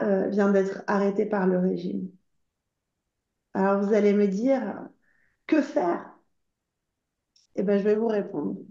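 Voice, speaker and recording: A woman from Iran giving an impassioned conference speech as an association spokeswoman, urgent and earnest, often pausing on hesitant fillers as she calls the audience to act. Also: mid-range voice, warm tone. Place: conference room. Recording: roomy, slightly dull conference recording.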